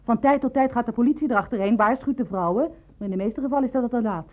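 A woman in a radio drama playing a lonely, bereaved woman, coolly probing and insinuating in measured, clipped phrases. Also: a little high, natural voice. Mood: probing, pointed. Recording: narrow, dull lo-fi radio play recording.

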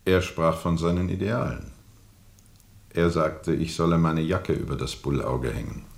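Very deep-voiced, haunted river captain. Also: male voice, measured pace, vocal fry, full character voice. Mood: weary, subdued. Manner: brooding, insistent, dramatic repetition. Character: haunted male river steamer captain recounting a dark journey. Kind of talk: radio play monologue.

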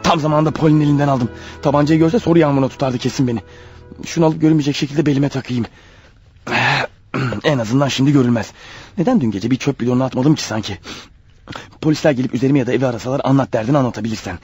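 An actor playing a jumpy, guilt-ridden husband in a radio play, stammering, snapping and evasive. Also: male voice, mid-range voice, quick pace, darkish tone, husky, faint music behind. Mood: muttering, tense. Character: panicky, guilt-ridden husband turned killer on the run. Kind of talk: radio play acting with muttered monologues.

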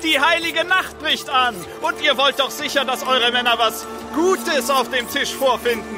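A man's voice: very high-pitched, normal speed, over music. An actor playing a market crier in a radio play, calling out his wares to housewives. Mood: hawking, coaxing. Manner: calling out, hawking. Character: loud market crier hawking food to housewives.

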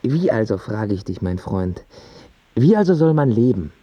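A man's voice: lowish voice, very animated, normal speed, dry recording. An actor playing a brooding, lovesick young man in a radio drama, earnest and declamatory. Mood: drunk, bleak. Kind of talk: German radio drama acting. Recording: dull audio over music.

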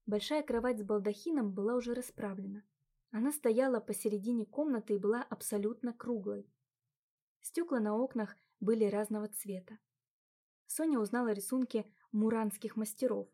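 A woman narrating an audiobook in an even, unhurried reading. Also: on the high side. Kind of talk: scripted narration.

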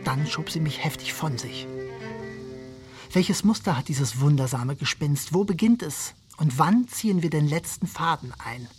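A wry, betrayed husband, dramatically animated. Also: male voice, on the high side, a little slow, faint music behind. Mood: brooding, wry. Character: jealous, self-ironic betrayed husband, a stage magician. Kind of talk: literary radio drama monologue.

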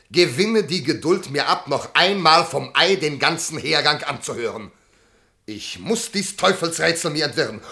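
An actor playing an irascible, incredulous master who commands imperiously in a classical German radio play. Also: male voice, fairly high pitch, creaky, sharp tone, exaggerated voice. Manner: imperious, theatrical declamation.

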